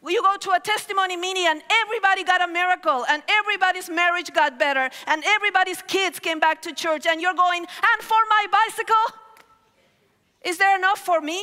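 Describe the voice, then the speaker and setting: Lively woman preaching in a hall. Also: very high voice, fairly quick. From Argentina. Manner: animated storytelling with gestures, calls on the crowd. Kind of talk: sermon. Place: large hall stage with audience. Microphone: headset mic. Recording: reverberant large hall.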